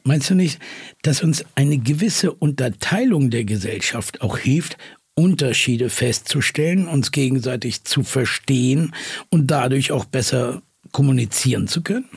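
A man's voice: medium pitch, lively intonation, a little slow, vocal fry, slightly rough, no echo, clean studio sound. A man hosting a podcast interview, putting probing questions to his guests in a conversational way.

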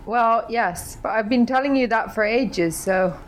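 A woman's voice: a little high, measured pace, slight background noise, low-quality recording. A British woman co-hosting a podcast, chatting in a dry, teasing way. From Britain. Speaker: podcast co-host. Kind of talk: casual chat.

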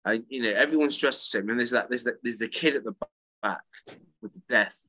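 A man co-hosting a podcast and chatting casually, an animated storyteller who repeats words as he starts his sentences. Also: medium pitch, a little fast, no echo, poor audio. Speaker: podcast co-host and English teacher. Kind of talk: casual chat. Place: works in Italy.

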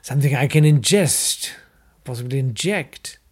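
A man voicing a pompous, obsessive aristocrat with a slow, drawling delivery. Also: medium pitch, breathy edge, natural voice. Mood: fervent.

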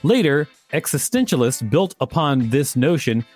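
A man co-hosts a reading podcast, his mid-range voice rising and falling freely as he works through the article. He slips in playful little asides between passages. Faint music sits quietly beneath him. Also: mid-range voice, big pitch swings, normal speed. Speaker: podcast co-host. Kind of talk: reading an article aloud with banter.

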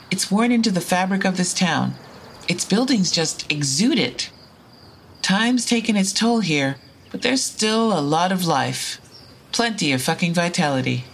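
An actress playing a curious, brash traveller, musing observantly in an audio drama monologue that sounds like a spoken log. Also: female voice, fairly low pitch, a little hiss or hum. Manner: observant, musing, casually profane. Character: curious, brash female traveller sizing up a strange town.

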